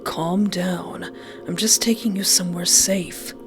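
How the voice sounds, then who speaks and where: A woman voicing an obsessed, possessive male ex-boyfriend in a visual novel, hushed and controlled with quiet menace. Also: fairly low pitch, steady tone, unhurried, music in the background, lightly acted. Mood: coaxing, controlling. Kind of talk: visual novel villain dialogue.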